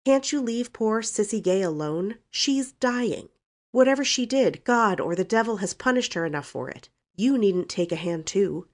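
A woman voicing a brazen, sharp-tongued young woman in a storybook, speaking boldly and emphatically. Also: medium pitch, animated, normal speed, pitched above the performer's own voice, lightly acted. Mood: defiant, scornful. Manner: bold, emphatic.

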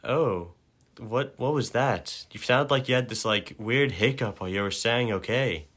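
A man playing a laid-back, overconfident rebel adventurer in an improvised game fandub, with a casual, hesitant, deadpan delivery. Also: medium pitch, moderate pace, breathy edge. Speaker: amateur fan-dub voice actor.

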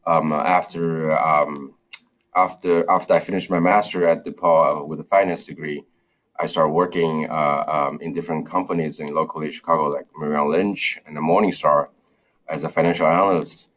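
A man's voice: a bass voice, steady tone, moderate pace, fairly bright tone. A man, a startup founder on a video call, talking hesitantly with many fillers and restarts. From China. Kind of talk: interview over video call. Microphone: video call, webcam.

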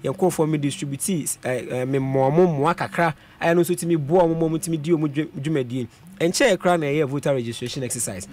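Young Ghanaian man, slightly high voice. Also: quick pace, a little mellow. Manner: mixes Twi and English, reels off vote figures. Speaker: parliamentary aspirant guest. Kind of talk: radio studio interview. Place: radio studio. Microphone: studio mic on a boom.

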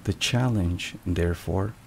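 A low-voiced man delivers scripted devotional narration in a hushed ASMR style. His delivery is very slow, measured and reflective. Rain and thunder are clearly heard behind him. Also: rough recording. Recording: steady rain and thunder noise. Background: rain and thunderstorm sounds.

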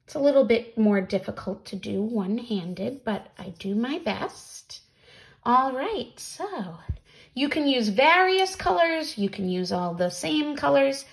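A woman in her thirties, speaking deliberately as a teacher presenting read-alouds and crafts for children, recorded in a small room at home. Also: fairly high pitch, lively intonation, creaky, poor audio.

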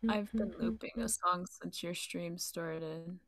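A woman's voice: fairly low pitch, slow pace, a bit bright, dry recording. A woman joining a stream as a guest, chatting casually with short, joking remarks. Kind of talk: casual chat.

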